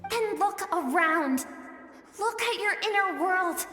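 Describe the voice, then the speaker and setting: A woman voicing a game character, the gentle suppressed emotional self of a swordswoman, speaking softly and earnestly with pleading warmth. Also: extremely high pitch, lively intonation, slow pace, heavily acted. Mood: pleading, impassioned. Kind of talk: video game voice acting. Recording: very echoey with long reverb, music behind.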